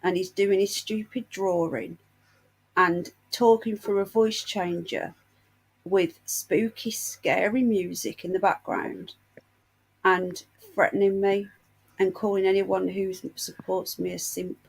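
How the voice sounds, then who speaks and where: A woman's voice: medium pitch, steady tone, slow, creaky, husky, low-quality recording. Woman livestreamer, gossipy and indignant, under the weather. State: unwell with a painful chest. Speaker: livestream host. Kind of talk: casual livestream chat.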